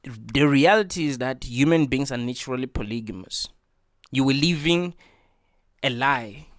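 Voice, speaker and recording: A man's voice: medium pitch, moderate pace, no echo, studio quality. A man hosting a reaction video, talking in an earnest, preachy way and repeating his points with lots of filler words. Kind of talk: casual opinion monologue.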